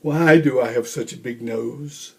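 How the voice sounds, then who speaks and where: A man voicing a curious, excitable young male bear cub in a children's storybook. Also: mid-range voice, measured pace, gravelly, pitched below the performer's own voice. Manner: eager, questioning, exclaiming. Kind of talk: children's storybook read-aloud.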